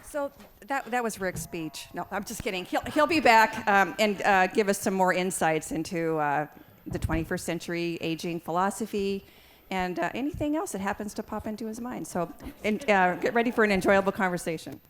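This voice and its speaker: Woman, event host: polite questioner, light joker, mid pitch. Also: normal speed, a little hiss or hum. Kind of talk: on-stage interview and introduction.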